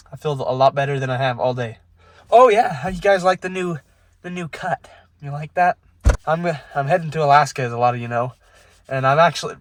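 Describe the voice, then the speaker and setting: A young man with a cold-raspy voice chatting excitedly to camera while car camping by a lake, casual and full of "dude" and "like". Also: on the high side, no room sound. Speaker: vlogger. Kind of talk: casual vlog chat to camera.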